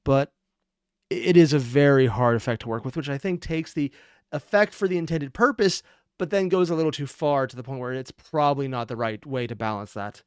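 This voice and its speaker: A man's voice: medium pitch, fairly quick, darkish tone, clean tone, no echo, clean studio sound. A man giving casual, off-the-cuff reaction commentary. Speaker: reaction video host.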